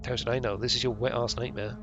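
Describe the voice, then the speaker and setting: A man's voice: mid-range voice, flat delivery, fast, lightly acted. A man voicing a mocking, foul-mouthed escaped suspect from a video game, cryptic and overly familiar, with music behind. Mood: taunting.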